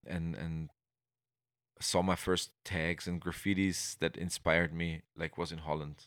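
Deep-voiced Austrian man, rambling. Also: flat delivery, unhurried, a bit bright, no echo, clean studio sound. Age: middle-aged. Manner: rambling, many restarts and fillers. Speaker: guest. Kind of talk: conversational interview.

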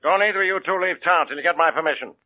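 An actor playing a gruff, exasperated male police inspector in a 1940s radio drama. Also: a little high, racing, natural voice. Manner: blustery, exasperated. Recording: tinny old radio broadcast with noise.

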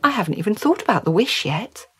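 A woman voicing a gentle, kind-hearted, wondering married countrywoman in a storybook, earnest and a little hesitant. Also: mid-range voice, sing-song, quick pace, slightly rough, voice pushed up, exaggerated voice. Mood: astonished, uncertain.

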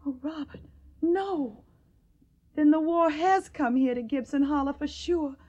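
A high-pitched young Tennessee woman in a radio drama. She has a Southern accent and a tender, earnest way of talking. She sounds troubled and wistful, with a stubborn streak. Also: unhurried, warm tone, highs cut off. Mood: upset, tender.

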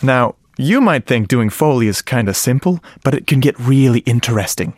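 A man's voice: mid-range voice, animated, normal speed, a little hiss or hum, lightly acted. A man acting in a radio drama as an enthusiastic sound-effects guy, explaining things conversationally with questions to the listener.

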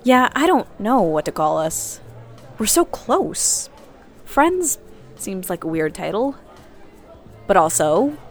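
A woman's voice: mid-range voice, very animated, takes it slowly, pauses often, soft background music. An actress playing a talkative, candid, newly single woman on a first date in a naturalistic audio drama. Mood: rueful, confiding.

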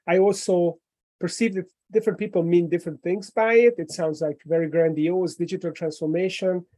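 A woman from Eastern Europe asks her guest questions, rephrasing as she thinks. Her voice is warm and mellow, with no bright edge. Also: fairly low pitch. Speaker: interviewer. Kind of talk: interview questions.

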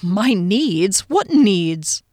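A woman's voice: mid-range voice, expressive, a little fast, no echo, clean studio sound, close to an everyday voice. A woman voicing a defiant female fantasy adventurer in an audiobook, with a sarcastic, indignant manner.

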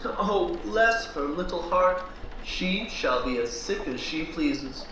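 A man's voice: high-pitched, lively intonation, slow, sharp tone, heavily acted. A man playing a patronizing, controlling physician husband in a period radio play, with a formal, cajoling, condescending manner. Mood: coaxing, condescending. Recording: very echoey room, faint noise.

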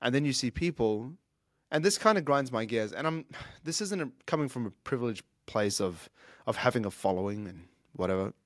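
A man's voice: medium pitch, expressive, a little fast, no echo, clean studio sound. A man in his thirties hosting his own show, talking through life lessons in an earnest, reflective way, often restarting his sentences. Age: 30s. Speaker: podcast host, fitness coach. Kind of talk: casual monologue.

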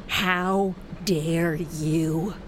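A woman's voice: deep voice, steady tone, very slow, a little hiss or hum. An actress playing a nervous, indignant middle-aged woman customer, defensive and emphatic, in a comedy audio drama.

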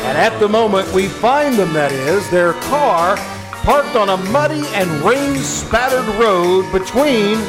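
Lively high-pitched man as old-time radio announcer. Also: measured pace, over music. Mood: scene-setting. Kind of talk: 1950s radio show scripted narration.

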